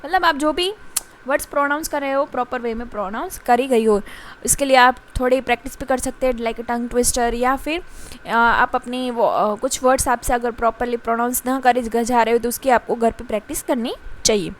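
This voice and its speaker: A woman teacher with an Indian accent giving a mixed-language lecture, pausing often with fillers and reading English passages slowly and carefully. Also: fairly high pitch. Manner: halting, frequent filler pauses and restarts.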